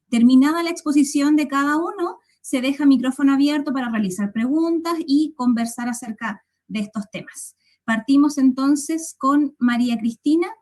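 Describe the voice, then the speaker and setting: A woman hosts a forum and introduces its speakers formally. She talks slowly and politely, with a few fillers. Her voice sits a little high. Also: a little mellow. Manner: slow and courteous, with fillers. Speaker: forum moderator.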